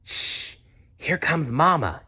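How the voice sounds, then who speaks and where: A man's voice: fairly high pitch, big pitch swings, slow pace, pushed and bright, slightly rough, voice pushed up, exaggerated voice. A man voicing a curious, easily startled baby bird in a storybook. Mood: urgent hush. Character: curious, startled baby birds chirping in the nest.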